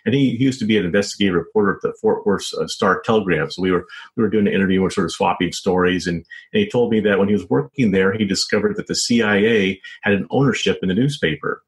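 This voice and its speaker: A man with a low voice talks as a guest author in an interview. He explains things at length in a conversational way, stumbling a little and padding his sentences with "you know" and "sort of.". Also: fast, rough recording. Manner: hesitant, wordy, frequent "you know" and "sort of". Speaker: guest, investigative journalist and author.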